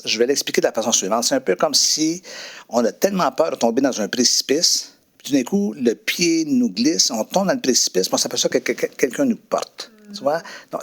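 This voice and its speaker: Middle-aged man, preacher, reflective testimony, hesitant, mid-pitched voice. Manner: reflective, hesitant, many fillers and restarts. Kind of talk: personal testimony interview.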